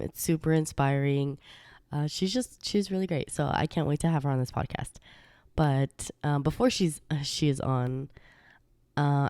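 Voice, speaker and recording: A woman's voice: deep voice, smooth, dry recording, clean studio sound. A woman hosting her own podcast in a chatty, rambling way, sounding congested from a recent illness. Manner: chatty, rambling with fillers and soft laughs. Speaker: podcast host. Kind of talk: casual solo podcast talk.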